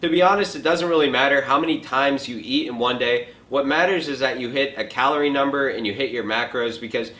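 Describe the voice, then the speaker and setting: A man's voice: medium pitch, a little fast, few pauses. Joking twenty-year-old guy in echoey room. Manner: relaxed, joking, chatty with filler likes. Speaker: fitness vlogger answering viewer questions. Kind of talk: casual question and answer vlog. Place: home kitchen.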